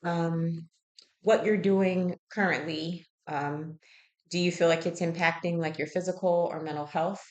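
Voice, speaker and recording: Low-pitched woman conducting a health intake interview. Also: steady tone, measured pace, a little mellow. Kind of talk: conversational health history questioning.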